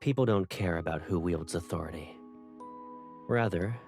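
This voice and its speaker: An actor playing a teasing, gentle young man in a video game. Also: deep voice, moderate pace, creaky. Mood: calm, earnest. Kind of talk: video game dialogue acting. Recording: music behind the voice. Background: background music.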